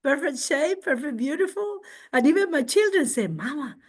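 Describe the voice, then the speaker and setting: A middle-aged woman from the Canary Islands with a Spanish accent, a native Spanish speaker, explaining and demonstrating informally in expressive non-native English. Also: sits high, moderate pace. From Tenerife, Canary Islands, Spain. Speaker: guest craft and culture presenter.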